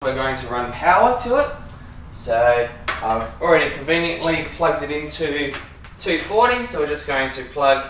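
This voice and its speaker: A man with an Australian accent giving a casual, halting hands-on demonstration as a sound engineer while he works. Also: fairly high pitch, big pitch swings, fairly bright tone. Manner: informal, halting, talking while working with hands. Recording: echoey room, distant mic, faint noise.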